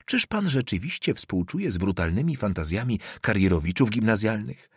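A man's voice: lowish voice, big pitch swings, moderate pace, breathy edge, no room sound, clean studio sound. A man voicing a fiery young male student who argues earnestly. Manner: earnest, heated.

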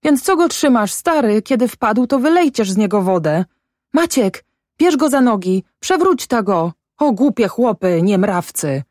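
A woman's voice: medium pitch, sing-song, normal speed, dry recording, clean studio sound, pitched above the performer's own voice, lightly acted. A woman voicing a distraught, bossy peasant mother in an audiobook story, with urgent exclamations in rustic dialect. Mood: frantic, scolding. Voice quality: trembling voice.

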